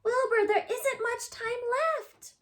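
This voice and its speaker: A woman voicing excited storybook rabbits who shout urgent encouragement to their friend. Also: extremely high pitch, slow pace, voice pushed up.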